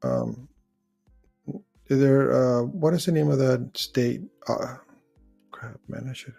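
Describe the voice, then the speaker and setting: A man's voice: medium pitch, slow, creaky, warm tone, faint music behind. A man hosting a live call-in show, chatting casually, rambling as he searches for words and reads out viewer comments. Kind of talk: casual live-stream chat.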